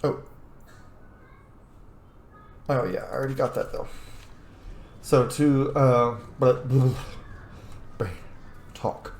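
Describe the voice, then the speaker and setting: A man's voice: medium pitch, steady tone, unhurried, a little mellow, roomy sound, a little hiss or hum. A man giving casual, chatty, rambling commentary as a video game streamer. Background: game music.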